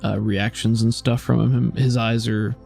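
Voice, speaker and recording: Creaky-voiced man running a tabletop game. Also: lowish voice, flat delivery, a little mellow. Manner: casual, halting, descriptive. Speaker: game master. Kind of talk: improvised tabletop game narration. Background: faint music.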